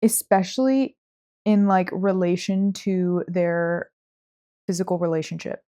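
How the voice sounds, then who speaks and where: A woman's voice: on the low side, fairly even intonation, unhurried, dark tone. Woman chatting casually about books. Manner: conversational, heavy use of "like". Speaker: podcast co-host. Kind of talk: casual book chat.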